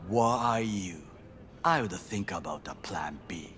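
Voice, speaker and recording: An actor playing a grave, proud middle-aged Japanese ex-bodyguard in a video game, speaking formal, clipped English with a Japanese accent. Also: male voice, low-pitched, expressive, a little slow, background noise. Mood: stern, guarded. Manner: formal, clipped, stern phrasing. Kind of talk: video game dialogue acting.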